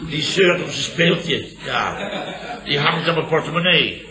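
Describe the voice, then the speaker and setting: An actor playing a flustered, long-winded middle-aged boss who blusters and repeats himself in a radio comedy. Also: male voice, on the high side, lively intonation, a little slow, a crowd in the background. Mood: indignant. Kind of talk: 1970s Dutch radio comedy acting. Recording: roomy old radio recording, studio audience.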